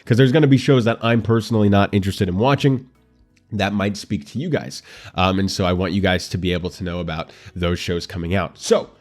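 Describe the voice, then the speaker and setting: A man's voice: deep voice, brisk, soft background music. A man hosting a casual anime commentary show, congested and nasal from allergies, with a dry throat, chatting straight to his viewers. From United States.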